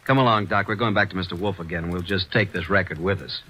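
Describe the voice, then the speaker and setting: Man cast as a businesslike sidekick in a radio play, deep voice, hissy old recording. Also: lightly acted. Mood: brisk. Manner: brisk, businesslike. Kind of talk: 1940s radio acting.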